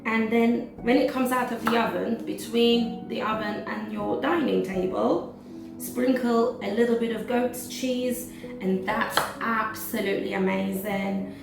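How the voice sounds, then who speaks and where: A woman with a slightly hoarse, gravelly voice talks through a recipe for her cooking vlog, chatty and full of "um"s. She sits a bit higher in pitch, and she's picked up from a distance in an echoey kitchen with music playing behind her. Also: fairly even intonation, a little slow. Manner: chatty, with many "um" fillers. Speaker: cooking vlogger. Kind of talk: casual cooking demonstration.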